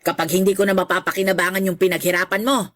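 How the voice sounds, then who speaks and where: A woman's voice: lowish voice, little pitch movement, fast talker, a bit bright, dry recording, studio quality, lightly acted. A woman playing a middle-aged mother in a radio drama, scolding and sarcastic as she belittles her grown son. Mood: scornful. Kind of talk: Filipino radio drama acting.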